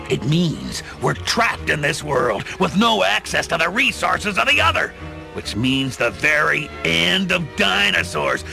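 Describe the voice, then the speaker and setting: An actor playing a grandiose, scheming reptilian dictator in a radio play, theatrical and commanding. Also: male voice, on the high side, vocal fry, full character voice. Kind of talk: audio drama acting.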